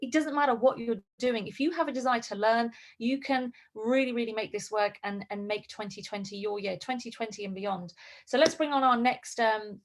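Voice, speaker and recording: A woman's voice: a little high, normal speed. A woman hosting an online group call, upbeat and effusive, introducing students and asking them to share. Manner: upbeat and effusive, repeats words. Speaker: host.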